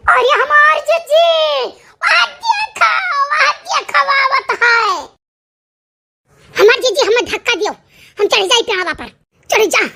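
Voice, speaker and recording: A performer voicing a fretful, panicky cartoon village woman with a squeaky high voice and an Awadhi accent, wailing and pleading in comic exclamations. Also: lively intonation, slow pace, creaky, sharp tone, full character voice. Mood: anxious urging. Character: panicky squeaky village women fleeing a tiger, fretful and squabbling. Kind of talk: animated comedy dialogue in cartoon skit.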